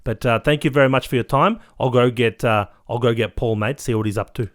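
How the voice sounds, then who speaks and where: A man's voice: mid-range voice, brisk, lightly acted. A man voicing a cocky, boastful male ladies' man who cuts in and says "mate" nearly every line. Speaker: comedic character guest.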